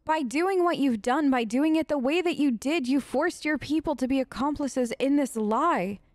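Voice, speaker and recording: High-voiced woman, dry close mic. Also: moderate pace, dry recording. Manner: chatty, conversational. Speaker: let's play host role-playing her character. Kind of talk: casual improvised role-play commentary.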